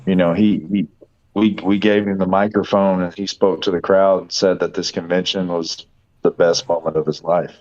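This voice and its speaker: A man who organizes a convention, speaking earnestly over a voice call, slightly hoarse from a weekend of talking and given to repeating his phrases. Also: sits low, flat delivery, moderate pace, a little mellow. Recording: dull lo-fi call audio.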